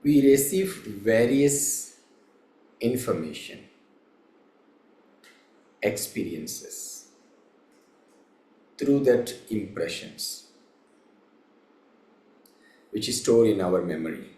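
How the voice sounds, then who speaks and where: Slow, low-voiced male meditation teacher, roomy sound. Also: expressive, mellow. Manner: measured, halting short phrases with long pauses. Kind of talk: slow guided meditation instruction. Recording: roomy, echoing room sound.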